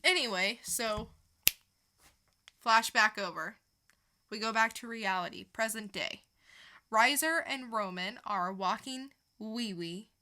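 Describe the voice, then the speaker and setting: A woman's voice: on the high side, takes it slowly, long pauses, bright, forceful tone. A woman co-hosting a podcast, chatting casually through a comic book review and retelling it with casual swearing. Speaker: podcast co-host.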